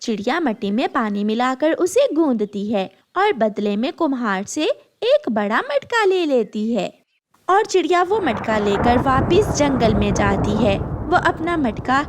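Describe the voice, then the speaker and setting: High-pitched, animated woman storyteller. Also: a little slow, slight background noise. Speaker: narrator. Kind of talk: scripted children's story narration.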